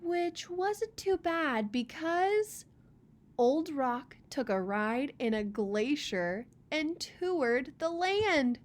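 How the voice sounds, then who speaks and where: A woman's voice: high voice, big pitch swings, drawn-out words. A woman reading a children's picture book aloud as storyteller, expressively, with chatty casual asides.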